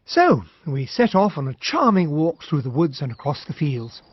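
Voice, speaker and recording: An actor playing a courteous British army officer in a period radio drama, with a genteel, expressive way of speaking. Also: male voice, a little high, normal speed, slightly rough, no room sound, highs cut off. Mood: polite curiosity. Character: courteous, observant army officer narrating a country-house mystery.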